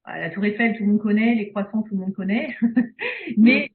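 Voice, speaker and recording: A woman's voice: medium pitch, expressive, moderate pace. A woman from Belgium, a speech therapist talking casually in an interview, hesitant with many fillers and restarts. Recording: phone-like video call audio.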